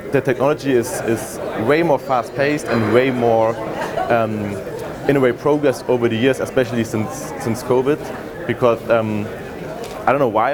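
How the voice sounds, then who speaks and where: A man from Germany with a German accent answers interview questions at an easy pace. He talks in a relaxed way with frequent ums and uhs. A crowd chatters clearly behind him in a busy hall. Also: mid-range voice. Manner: relaxed, many fillers like um and uh. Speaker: interviewee, business development head. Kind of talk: conversational interview.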